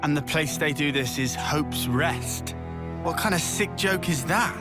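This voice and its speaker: A man's voice: on the high side, unhurried, lightly acted. An actor voicing a blunt, bold young male fighter in a video game cutscene. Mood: indignant. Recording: loud game music behind voice.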